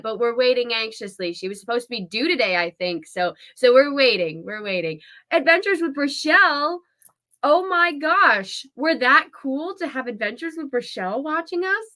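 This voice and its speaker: A young woman co-hosting a casual live stream, her voice raspy and hoarse from a busy weekend, bubbly and quick to giggle. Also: fairly high pitch, bright, forceful tone. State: hoarse, voice nearly gone. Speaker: co-host.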